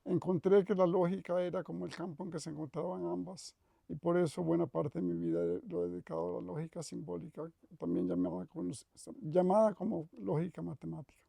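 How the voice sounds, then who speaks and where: Older costa rican guy reminiscing, real gravelly voice, kinda high, dry room sound. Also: expressive, mellow, no echo. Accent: Costa Rican Spanish. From Costa Rica. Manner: thoughtful, measured, with frequent restarts and self-corrections. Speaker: retired professor interviewed. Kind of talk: reflective interview reminiscence.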